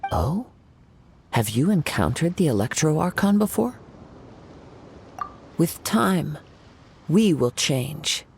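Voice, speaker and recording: A man playing a calm, reflective young wandering swordsman in a video game, speaking in a measured, formal way. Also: a little high, gravelly, slight background noise, full character voice. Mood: contemplative. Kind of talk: English video game voice acting. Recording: music underneath.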